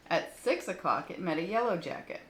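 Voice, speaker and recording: A woman with a fairly low voice tells children's stories aloud. She reads expressively and pauses to explain things to young listeners. The recording is roomy, with faint background noise. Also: animated. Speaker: children's story reader. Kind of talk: storytelling read aloud for children, with asides.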